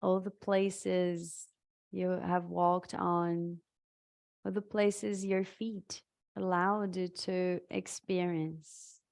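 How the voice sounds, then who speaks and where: A woman leading a live guided meditation in a soothing, halting way, leaving long pauses between short phrases. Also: lowish voice, little pitch movement, vocal fry, darkish tone.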